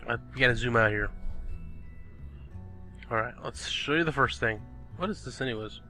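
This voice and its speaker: A man's voice: medium pitch, moderate pace, bright, forceful tone. A young man giving casual, chatty gameplay commentary, thinking aloud and exclaiming at the game, a bit sniffly from a cold. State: sniffly, recovering from illness. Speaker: gaming video host. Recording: faint background music. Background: background music.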